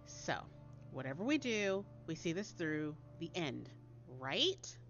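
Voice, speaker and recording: Female narrator as a pushy, breezy young American woman in a spooky story, animated, slightly muffled recording. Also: sits low, slow pace, full character voice. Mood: bossy. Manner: brash, emphatic, commanding. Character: bossy, breezy young woman leading an amateur spell group. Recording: dull lo-fi audio under loud music.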